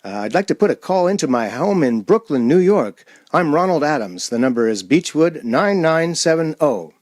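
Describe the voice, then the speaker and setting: A man playing a frightened, unraveling traveler in a suspense radio play, speaking dramatically and haltingly as he tells his story. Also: a little high, very animated, darkish tone, dry recording, voice pushed up. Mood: anxious. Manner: dramatic, halting, repeats words in distress.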